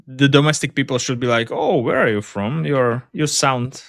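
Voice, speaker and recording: A man hosting a casual interview, speaking haltingly as he searches for words. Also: mid-range voice, creaky, fairly bright tone, no room sound. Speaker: podcast host, interviewer.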